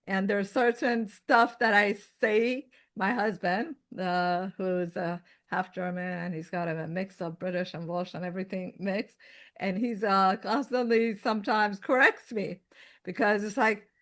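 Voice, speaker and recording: A woman's voice: medium pitch, fairly even intonation, unhurried, fairly bright tone. A woman in her fifties from Iran with a Persian accent, a guest telling her story in casual chat, rambling with many fillers and restarts. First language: Farsi. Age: 50s.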